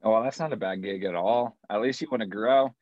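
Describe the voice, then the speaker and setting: American man, lowish voice, dry muffled sound. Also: brisk, darkish tone, no echo. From Colorado, United States. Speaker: yoga teacher, podcast guest. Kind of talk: casual conversation.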